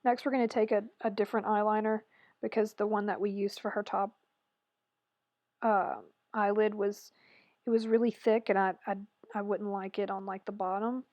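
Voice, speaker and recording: A woman with a hoarse, raspy voice chatting through a makeup tutorial voice-over, rambling and correcting herself as she goes. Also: medium pitch, flat delivery, normal speed, a little mellow, no echo. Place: voice-over recorded separately from the video.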